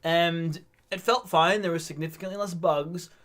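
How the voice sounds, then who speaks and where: A man's voice: fairly high pitch, fairly even intonation, normal speed, fairly bright tone. A man co-hosting a casual gaming podcast, talking animatedly, laughing often and restarting his words. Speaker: podcast co-host. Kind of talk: casual chat.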